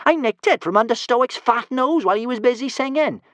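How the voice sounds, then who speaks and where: A man's voice: high voice, huge pitch swings, a little fast, fairly bright tone, no room sound, voice pushed up, exaggerated voice. A man voicing a bold, fearless girl who talks breezily in an audiobook reading. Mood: breezy. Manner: breezy, eager.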